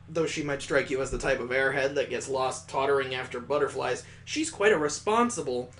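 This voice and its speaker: A man's voice: on the high side, fairly bright tone. A man hosting a gaming video, narrating a visual novel with improvised asides as he reads aloud.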